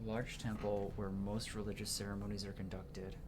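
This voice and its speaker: A man's voice: lowish voice, level tone, moderate pace, noisy background. A man doing casual let's play commentary, thinking aloud informally and reading on-screen text as he goes. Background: game music.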